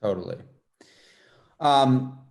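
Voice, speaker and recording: A man's voice: medium pitch, lively intonation, slow pace, a little mellow. A man from New York City hosting a podcast interview, chatting in a loose, rambling way full of fillers. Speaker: podcast host. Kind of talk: casual interview chat.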